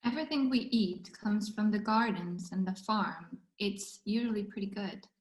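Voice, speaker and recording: A woman's voice: medium pitch, moderate pace, natural voice. A woman reading the part of a wry, frank woman in her early twenties at a script table read. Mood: explanatory. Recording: roomy, echoing sound.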